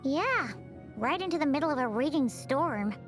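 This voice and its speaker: A tiny, perky game fairy with an extremely high, childlike squeak. Her delivery swoops up and down, chatty and plucky, over clear background music. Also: animated, moderate pace. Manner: perky, refers to herself in third person. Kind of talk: video game character acting.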